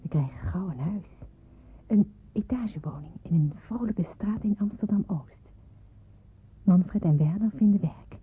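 A woman's voice: mid-range voice, slightly rough. Earnest schoolgirl narrator, thin phone-like sound. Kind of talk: scripted first-person narration in a radio drama. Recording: thin phone-like radio drama recording.

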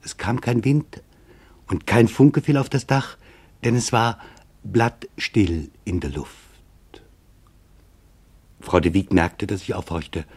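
A man playing a sombre reporter in a scripted radio play, narrating in a grave, measured, reflective way with a husky voice. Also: fairly low pitch, a little mellow. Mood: grave, hushed. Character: sombre male reporter recounting a camp survivor's testimony.

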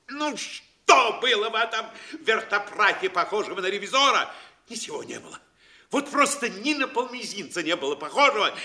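An actor playing a blustering, furious middle-aged town governor who has been duped, ranting theatrically in an audio stage play. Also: male voice, high-pitched, pushed and bright, full character voice. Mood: indignant disbelief. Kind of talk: classic Russian audio stage play acting. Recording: roomy, echoing stage-play recording.

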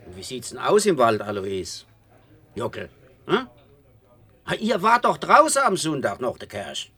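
An actor playing an exasperated rural peacemaker with a southern German accent in a radio drama. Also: male voice, medium pitch, wildly swooping pitch, unhurried, bright, forceful tone, slightly rough, no echo, exaggerated voice. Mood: probing. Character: exasperated peacemaking rural man, trying to keep order.

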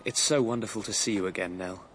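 A man's voice: lowish voice, fast talker, a little hiss or hum, lightly acted, rough recording. An actor playing an earnest, impulsive young Englishman, well-spoken and emotional, in a radio drama. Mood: eager, tender. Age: young adult. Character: earnest, lovestruck, restless young Englishman, aspiring composer. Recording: music under the voice.

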